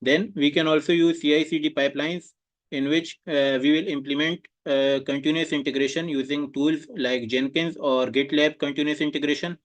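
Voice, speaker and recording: A young man delivers an exam presentation over a video call, his voice sitting a little high. He pushes through each point hesitantly, filling the pauses with frequent uhs. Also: steady tone. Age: young adult. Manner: hesitant, many filler uhs. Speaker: student presenter.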